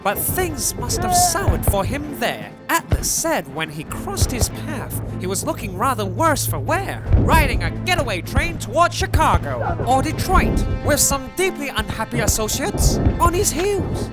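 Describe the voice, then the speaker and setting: A woman's voice: sits high, sing-song, measured pace, hardly any pauses. A woman playing a chatty, wry storyteller recounting gangster exploits in a scripted audio drama. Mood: wry, reflective. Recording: music under the voice. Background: music.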